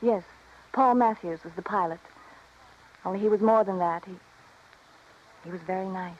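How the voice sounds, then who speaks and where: A woman's voice: medium pitch, moderate pace, a little mellow, slight background noise, close to an everyday voice. Woman acting a coy radio femme fatale, dull recording. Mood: wistful, halting. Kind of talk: 1950s radio drama acting.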